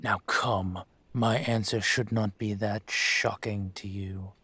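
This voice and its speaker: A man playing a dragon mafia boss in an audio roleplay, speaking with measured, condescending menace and smug control. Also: fairly low pitch, steady tone, bright, forceful tone, breathy edge, no echo, full character voice. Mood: stern, condescending. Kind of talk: scripted roleplay monologue to the listener.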